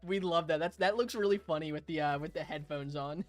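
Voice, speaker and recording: A hoarse young man live-streaming a game, chatting casually with viewers, chuckling and thanking them. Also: on the high side, a little fast, no echo, soft background music. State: hoarse, raspy throat.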